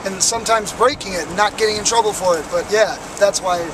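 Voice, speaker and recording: Young man, raspy strained voice, high-pitched, impassioned, traffic noise outdoors. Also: fast. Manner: earnest and impassioned, with frequent fillers. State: raspy, worn voice. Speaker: protester. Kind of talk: street interview. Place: outdoors on a city plaza by traffic.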